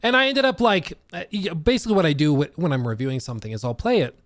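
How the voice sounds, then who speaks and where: Lively, slightly wheezy male streamer. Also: a little high, quick pace, no echo, clean studio sound. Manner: rambling, animated, lots of fillers and restarts. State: slightly wheezy and short of breath. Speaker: streaming host. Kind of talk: casual live-stream chat.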